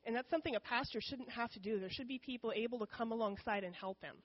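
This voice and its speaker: A woman's voice: a little high, fast, highs cut off. A woman giving an informal missions talk to her church in a candid, conversational way, with frequent ums. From United States.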